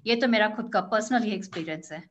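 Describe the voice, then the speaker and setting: A woman's voice: mid-range voice, quick pace, highs cut off. A woman in her thirties answering interview questions, switching freely between Hindi and English with a slightly British-tinged accent. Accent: Indian English with a British tinge. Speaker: interview guest, business analyst.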